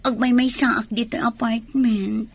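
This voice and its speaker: An actress with a northern Philippine accent playing an affectionate, clingy girlfriend in a radio drama, with a husky, creaky voice. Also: on the high side, fairly even intonation, unhurried, full character voice. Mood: coaxing.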